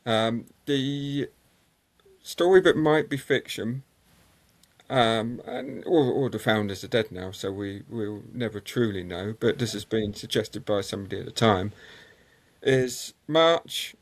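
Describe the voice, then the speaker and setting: A man, a guest on a motorsport show, telling long anecdotes with many ums and pauses. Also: fairly low pitch. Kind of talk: conversational interview storytelling.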